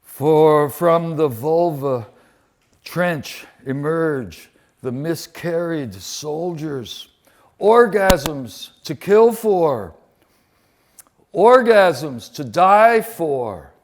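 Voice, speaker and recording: A man giving a dramatic live poetry reading, delivering short phrases deliberately with weighted pauses. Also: fairly high pitch, animated. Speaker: poet reading translated war poems at a poetry event.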